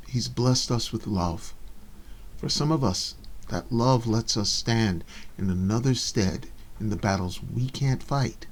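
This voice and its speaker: A man voicing a tough, loving elderly woman pastor in a story reading, with solemn, measured preaching. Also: medium pitch, darkish tone.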